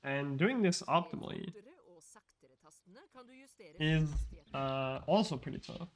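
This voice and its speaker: A man's voice: a little high, slow. Chatty man commentating his speedrun. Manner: casual, explanatory, frequent fillers and restarts.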